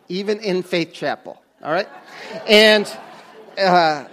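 Middle-aged male pastor, higher-pitched voice, earnest off-the-cuff preaching, stop-start delivery. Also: a bit bright. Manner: earnest, halting, often restarts and trails off. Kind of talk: conversational sermon. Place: church stage under bright lights.

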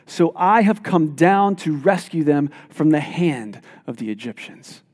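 A man preaching a sermon as pastor, reading scripture aloud and explaining it in an animated, emphatic way. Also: on the high side, unhurried. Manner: animated, self-deprecating, emphatic. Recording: long hall reverb.

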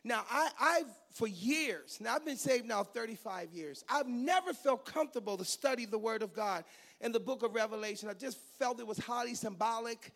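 A man in his fifties preaches in a raspy, high-pitched voice with a gravelly edge. As a pastor he mixes teaching and preaching in one sermon. He reads scripture and prays, and drops goofy, joking asides in between. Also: lively intonation, normal speed, a bit bright. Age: 50s.

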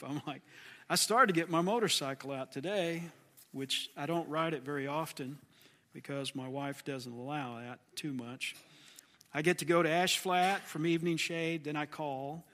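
A man with a slightly hoarse voice leading a church class, praying aloud and then chatting casually with the group. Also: fairly high pitch, moderate pace, fairly bright tone. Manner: earnest, folksy, jokes with the class. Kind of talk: spoken prayer and casual class talk.

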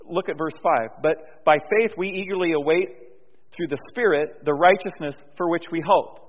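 Man preaching in an echoing hall. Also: normal speed. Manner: swings from soft and low to loud and emphatic; conversational, with restarts and fillers. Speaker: pastor. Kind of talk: sermon. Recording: dull sound with long hall reverb.

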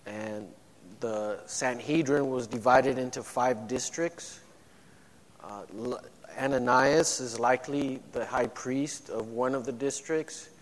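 A low-voiced man delivers a sermon into a microphone, slowly and haltingly, restarting his phrases often. His voice rings with the long reverb of a church hall. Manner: halting, many restarts and fillers. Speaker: preacher. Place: church sanctuary.

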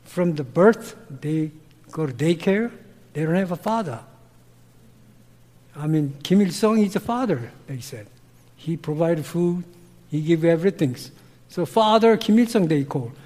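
Slow-talking Korean-accented man. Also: on the high side, big-room sound. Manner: broken English, slow and earnest, gets loud when animated. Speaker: missionary guest. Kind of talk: interview answers, personal stories.

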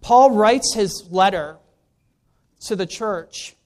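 A man's voice: sits high, slow. A middle-aged man with an American accent, speaking informally and with self-deprecation as a guest preacher introducing himself and his sermon to a congregation. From Maryland, United States.

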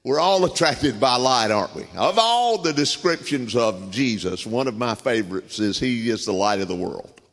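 A man with a Southern US accent preaching a sermon, telling folksy stories with frequent pauses and filler words. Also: mid-range voice, animated, creaky, a bit bright. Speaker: guest preacher. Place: church sanctuary. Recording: reverberant church sanctuary.

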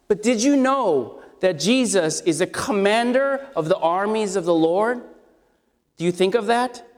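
A man preaching an expository sermon with a scratchy voice, talking conversationally and asking his listeners questions. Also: fairly high pitch. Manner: conversational, asks the congregation questions. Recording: long hall reverb.

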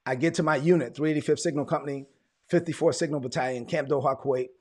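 A middle-aged American man giving an informal, conversational sermon of personal testimony, telling it like an animated story and repeating phrases for emphasis. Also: a little high, quick pace, slightly rough. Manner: animated storytelling, acts out quoted dialogue, conversational.

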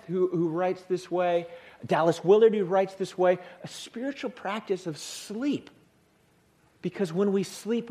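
A male pastor giving a sermon in church, with a soothing voice and a humorous, lively manner of delivery. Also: high voice. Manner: lively, humorous, acts out tired people.

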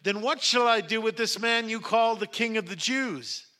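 A man's voice: on the high side, animated, measured pace, bright, forceful tone, exaggerated voice. A man voicing a troubled, authoritative Roman governor in a Bible reading, declaiming, questioning and pleading. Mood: urgent, questioning.

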